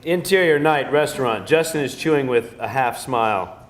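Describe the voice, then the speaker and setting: Mid-pitched man reading screenplay directions. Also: normal speed. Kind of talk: scripted narration of stage directions. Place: live table read in a room.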